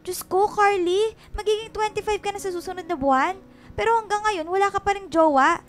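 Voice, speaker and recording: An extremely high-voiced, bossy young woman teases her best friend in a Filipino radio drama. She is slangy and exclamatory. Soft music sits behind her. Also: brisk. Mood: teasing, exasperated.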